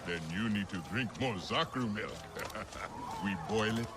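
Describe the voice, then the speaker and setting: A man's voice: fairly low pitch, takes it slowly. An actor playing a jovial, hearty alien clansman welcoming a stranger in a video game cutscene. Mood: jovial, proud. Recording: music behind the voice.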